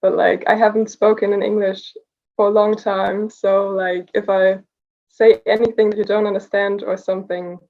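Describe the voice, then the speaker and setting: A young German woman with a slight accent and a dark, mellow voice, speaking in a flat, even way. She pauses often and fills gaps with "um" as she explains her writing. The sound is dull and lo-fi, like a video call. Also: medium pitch. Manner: thoughtful, hesitant with many fillers. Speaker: award-winning writer, guest. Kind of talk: casual interview over video call.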